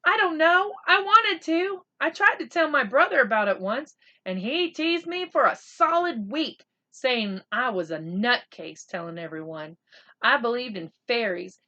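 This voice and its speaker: A woman's voice: a little high, lively intonation, measured pace, bright, forceful tone, lo-fi sound, pitched above the performer's own voice. A woman voicing a sheepish, defensive young boy, hesitant and earnest, in a children's storybook read-aloud.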